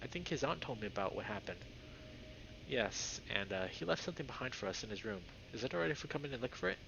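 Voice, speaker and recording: A man voicing a nervous young male animal character in a visual novel, halting, polite and insistent. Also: mid-range voice, expressive, creaky. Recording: dull lo-fi microphone, faint noise.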